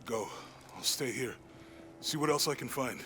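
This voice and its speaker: An easygoing male expedition companion in a video game, with a mid-pitched voice. Clear ambient noise runs behind him. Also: lively intonation, moderate pace, bright, forceful tone. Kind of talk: video game cutscene acting.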